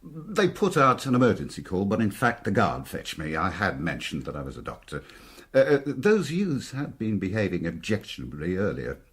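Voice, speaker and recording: An actor playing a genial, chatty family doctor, speaking a little hesitantly in a radio drama. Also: male voice, low-pitched, wildly swooping pitch, moderate pace, breathy edge, exaggerated voice. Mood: explanatory, uneasy. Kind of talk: British radio drama acting.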